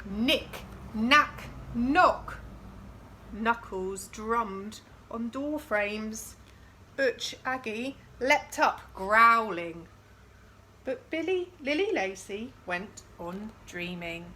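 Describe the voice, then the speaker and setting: A woman reading a picture book aloud to young children in an expressive storytelling style, with chatty questions to the listeners. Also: fairly high pitch, very slow, slight background noise. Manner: addresses young listeners with questions and dramatised sound words.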